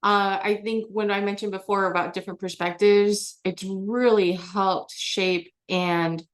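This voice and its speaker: A young woman talks easily as an interview guest, filling her sentences with "you know" and quick restarts. Her voice sits at an ordinary middle pitch, relaxed and conversational. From Germany. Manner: chatty, frequent fillers and restarts, laughs often. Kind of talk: casual interview answers.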